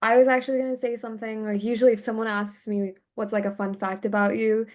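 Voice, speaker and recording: A woman in her early twenties, raised in India and the US, speaking softly with many fillers. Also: a little high, flat delivery, fast talker. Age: 20s. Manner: soft-spoken, many fillers. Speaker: graduate student guest. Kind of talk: casual conversation.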